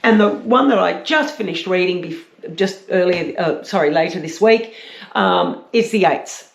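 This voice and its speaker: A woman with a croaky voice, chatting casually and a little hesitantly as a book reviewer. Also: lowish voice, expressive, a little slow. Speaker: book reviewer, vlog host. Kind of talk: casual book chat with read-aloud blurbs. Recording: roomy, echoey sound.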